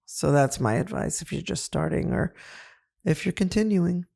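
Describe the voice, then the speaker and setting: Low-voiced gravelly woman, earnest. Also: animated, moderate pace, warm tone. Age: middle-aged. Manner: earnest, reflective advice. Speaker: co-host. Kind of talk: casual podcast chat.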